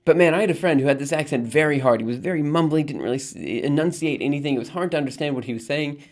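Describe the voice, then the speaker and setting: A man's voice: on the high side, quick pace, a little mellow. A man from Alabama hosting a live stream, chatting casually with viewers, rambling with frequent ums and laughs. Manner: rambling, reads chat aloud and answers, frequent ums and laughs. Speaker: livestream host.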